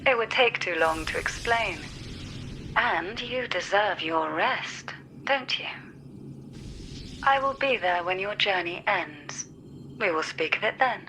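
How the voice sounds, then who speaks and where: A woman voicing a calm, enigmatic ally in a video game, speaking in a measured, formal and philosophical way. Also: mid-range voice, a little hiss or hum, exaggerated voice. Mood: composed, evasive.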